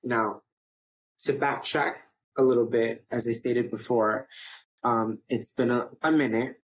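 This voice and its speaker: Chatty deep-voiced man rambling to camera. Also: poor audio. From New York. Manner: chatty, rambling, self-interrupting. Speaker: host of his own online show. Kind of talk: casual talking-to-camera update.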